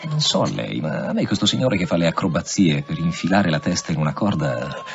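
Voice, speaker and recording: A man's voice: sits low, very animated, brisk, creaky, muffled recording, faint music behind, heavily acted. An actor in an Italian radio drama playing a stubborn, curious police commissioner in his late thirties, with an insistent, clipped delivery. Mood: quietly probing.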